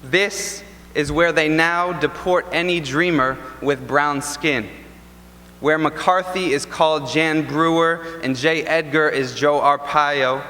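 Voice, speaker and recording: A young man performing spoken word poetry at an event, with a rhythmic, deliberate and impassioned delivery in a large ballroom. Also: fairly high pitch, bright, forceful tone. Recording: long reverb from a large ballroom.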